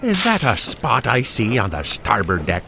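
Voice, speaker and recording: A man playing a pompous, gruff old pirate captain dog in an audio drama, with theatrical archaic pirate talk. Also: medium pitch, wildly swooping pitch, normal speed, very noisy. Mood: commanding. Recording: music under the voice.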